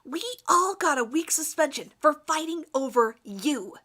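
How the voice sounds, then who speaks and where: A woman's voice: high-pitched, expressive, a little slow, pushed and bright, exaggerated voice. A woman voicing a defiant, smirking teenage girl with an emphatic, haughty delivery in a dramatic fan fiction reading.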